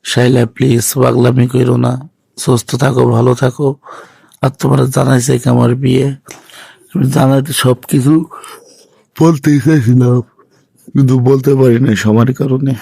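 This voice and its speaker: A man's voice: mid-range voice, little pitch movement, normal speed, vocal fry, long pauses, dark tone, breathy edge, dry recording. A man playing a regretful young lover, pleading in a subdued way in colloquial Bangladeshi Bengali on an emotional phone-call drama. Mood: sad, resigned.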